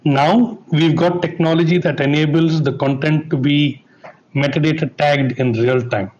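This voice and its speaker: A man's voice: fairly high pitch, steady tone, normal speed, darkish tone, dull audio, a little hiss or hum. A man speaking on a conference panel, explaining at length and asking listeners to bear with him.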